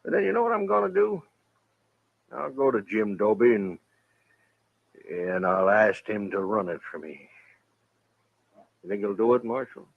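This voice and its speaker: A man's voice: on the low side, animated, gravelly, no echo, full character voice. An actor playing a blunt, hot-headed, grumbling cattleman in a 1950s western radio drama. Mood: contrite. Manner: blunt, grumbling, quick to threaten. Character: hot-headed, stubborn cattleman turned grudging hotel owner. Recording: dull vintage radio recording.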